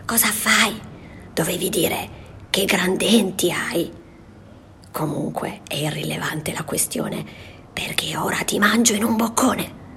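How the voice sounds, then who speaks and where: A woman voicing a sly, greedy male wolf in a storybook reading, speaking in a hushed, low whisper. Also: slow, vocal fry, full character voice. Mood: scolding.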